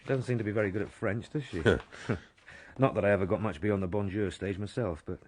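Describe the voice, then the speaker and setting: An actor playing a deferential, plain-speaking police sergeant in a radio drama, modest and questioning. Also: male voice, lowish voice, a little fast, a little mellow, husky. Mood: eager, deferential. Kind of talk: British radio drama acting.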